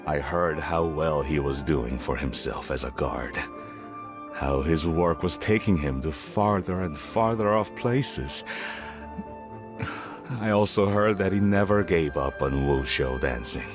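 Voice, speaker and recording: A man's voice: very deep voice, a little slow, over music. A man playing a worried, stubborn middle-aged merchant father in a video game, speaking in an earnest, weary, hesitant way. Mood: hesitant, troubled. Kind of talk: scripted video game dialogue.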